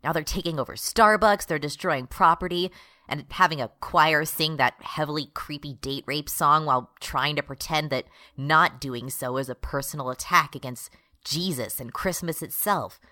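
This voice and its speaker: A fed-up retail worker turned rebel leader played by a woman rants in a radio drama. The voice is a little low and very sharp and forceful, with an exasperated manner. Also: moderate pace. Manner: exasperated, ranting.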